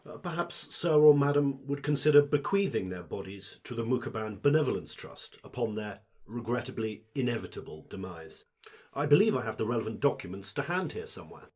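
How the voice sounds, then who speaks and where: An obsequious alien shopkeeper played by a man in a radio play, a fungus speaking through its host. The voice is husky and swings eagerly up and down, wrapped in servile, elaborate courtesy. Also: mid-range voice, animated, brisk, a little mellow. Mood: eagerly obliging. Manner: fawning, formal, over-polite servile patter. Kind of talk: audio drama acting.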